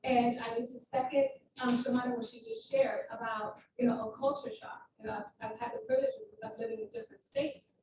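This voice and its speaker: A woman's voice: fairly high pitch, big pitch swings, brisk, few pauses. A woman moderating a live panel discussion at an event, talking in a conversational, emphatic way, recorded from a distance in a roomy hall. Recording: roomy, distant mic with room noise.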